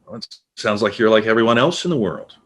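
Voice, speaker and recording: A man acting as a nosy, teasing former literary agent in a stage play, husky-voiced, with a probing, conversational manner. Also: fairly low pitch, animated, quick pace, a bit bright. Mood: probing, needling. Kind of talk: naturalistic stage play dialogue.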